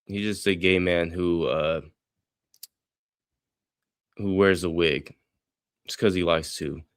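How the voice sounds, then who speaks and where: A man's voice: deep voice, steady tone, takes it slowly, smooth, dry recording, studio quality. An older man streaming, mocking another streamer with sarcastic, profane commentary.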